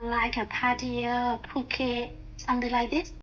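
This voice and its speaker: Hesitant high-voiced Thai woman. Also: completely flat delivery, a little slow, fairly bright tone, lo-fi sound, slight background noise. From Thailand. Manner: hesitant, searching for words with many fillers. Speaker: guest, university student. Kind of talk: casual language-practice chat.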